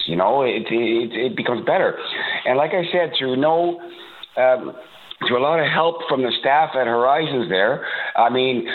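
A man in his sixties from Toronto, calling in to a radio show, talking haltingly with many fillers and restarts, a bit congested from a cold. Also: mid-range voice. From Toronto, Canada. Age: 60s. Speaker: caller. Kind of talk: call-in radio talk. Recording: tinny phone line.

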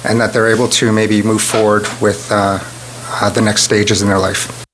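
Police spokesman in a news clip, lowish voice, faint hum behind, formal with ums. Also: level tone, normal speed, fairly bright tone, low-quality recording. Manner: formal, with hesitant fillers.

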